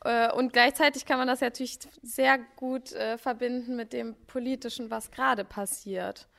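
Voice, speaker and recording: A woman's voice: on the high side, steady tone, normal speed, gravelly, a bit bright. A woman thinking aloud in a talk with a school class, turning the question back to the students. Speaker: actress answering a school class.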